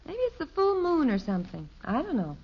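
A woman playing a patient, long-suffering middle-aged wife and mother in a 1950s radio sitcom, gently placating and wry. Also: sits high, wildly swooping pitch, fairly quick. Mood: wry, gentle. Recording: dull 1950s radio broadcast recording.

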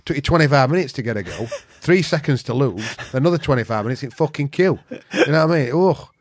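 A man's voice: medium pitch, lively intonation, fast talker, dry recording, studio quality. A man from Yorkshire with a regional accent, chatting casually as an interview guest and telling anecdotes in a blunt, sweary, earthy way. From Yorkshire, England. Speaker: interview guest, veteran jiu-jitsu practitioner.

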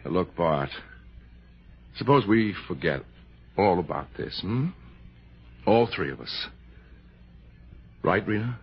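A deep-voiced, self-assured movie star. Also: male voice, animated, slow, vocal fry, pauses often, breathy edge, exaggerated voice. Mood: placating. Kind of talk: 1960s radio drama acting. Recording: muffled, hissy old radio broadcast.

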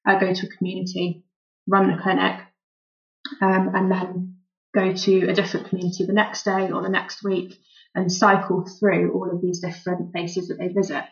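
A woman with a lowish voice and a gravelly creak, talking through her medical work with lots of pauses and "um"s. The audio is a bit dull and lo-fi. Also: monotone. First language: English. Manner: thoughtful, many "um" fillers. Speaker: volunteer doctor, guest. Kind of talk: relaxed interview answers.

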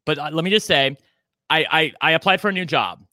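A man's voice: on the high side, fairly quick, pushed and bright, no echo, clean studio sound. A man in his forties co-hosting a podcast, talking in a chatty, campy, self-deprecating way. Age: 40s. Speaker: podcast co-host. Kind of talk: casual chat.

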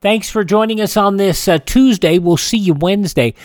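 A man hosting a radio show and chatting casually between segments. His voice sits a little high. The sound is dry and close, with no room echo. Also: normal speed. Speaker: host.

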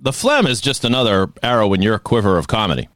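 A man co-hosting a podcast, teasing his partner with quick wisecracks in casual banter. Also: fairly low pitch, no room sound. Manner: wisecracking, teasing, lively delivery. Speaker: podcast co-host.

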